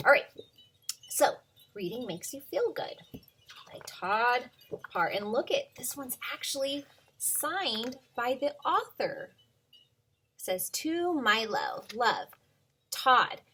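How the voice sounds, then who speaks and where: A woman in her thirties, a preschool teacher, reading a picture book aloud to children in a gentle, sing-song way with many ums. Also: a little high, very animated, slow, long pauses, slight background noise.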